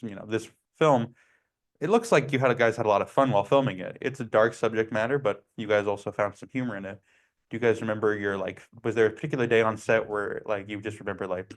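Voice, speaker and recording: A man's voice: on the low side, fairly even intonation, quick pace. A man interviewing film actors, asking questions in a chatty, enthusiastic way and often using fillers.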